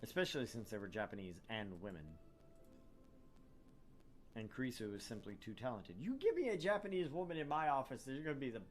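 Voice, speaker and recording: A man's voice: mid-range voice, expressive, a little fast, soft background music. A man reading a visual novel aloud as narrator, dropping in wry, joking casual banter. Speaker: let's play host narrating a visual novel.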